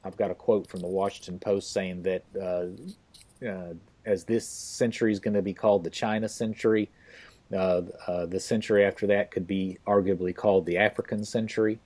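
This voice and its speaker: A man hosting a podcast interview, talking casually and haltingly with many fillers and restarts. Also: low voice, fairly even intonation, a little mellow. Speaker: podcast host.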